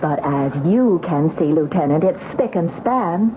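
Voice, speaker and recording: An actress playing a distraught young wife who pleads and insists, in old-time radio mystery style. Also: female voice, lowish voice, animated, a little slow. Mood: defiant. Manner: pleading, emphatic repetition. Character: distraught, insistent young wife doubting her own sanity. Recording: noisy, tinny old radio broadcast.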